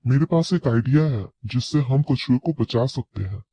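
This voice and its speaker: A man's voice: medium pitch, animated, moderate pace, mellow, no room sound. A man voicing a clever, helpful crow in a children's fable, resolute and reassuring, on a slightly muffled recording. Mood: planning.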